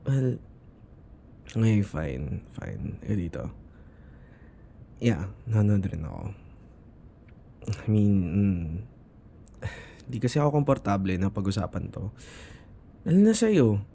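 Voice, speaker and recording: A man with a Filipino accent playing a shy, affectionate boyfriend in his twenties in an intimate ASMR roleplay, speaking softly and hesitantly. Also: fairly low pitch, very animated, gravelly, a little hiss or hum, heavily acted. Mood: hesitant, embarrassed. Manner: soft, hesitant, halting, slipping between Tagalog and English.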